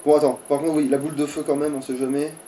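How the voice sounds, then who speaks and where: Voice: medium pitch, level tone, fast talker, mellow, distant mic, slight background noise. A gamer giving casual, grumbling, sweary commentary while playing a video game.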